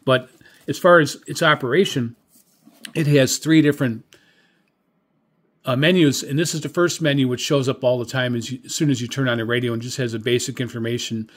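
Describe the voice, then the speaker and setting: A middle-aged or older man giving a casual product review as a hobbyist, in a hesitant, rambling, conversational way. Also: medium pitch. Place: indoor room with radio equipment.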